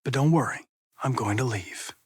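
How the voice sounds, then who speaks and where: A man's voice: medium pitch, moderate pace, breathy edge, close to an everyday voice. An American man playing a polite, analytical young android detective in a video game, earnest and determined, with a precise, formal delivery. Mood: grave, subdued.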